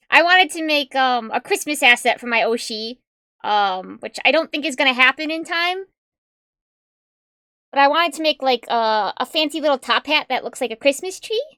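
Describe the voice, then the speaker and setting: A woman's voice: sits high, expressive, normal speed, pushed and bright, no room sound, clean studio sound. A woman streamer chatting casually with viewers in a tiny, cute-sounding voice, giggling often and rambling with fillers. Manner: giggly, laughs often between remarks; rambling, with fillers and self-corrections. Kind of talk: casual livestream chat with viewers.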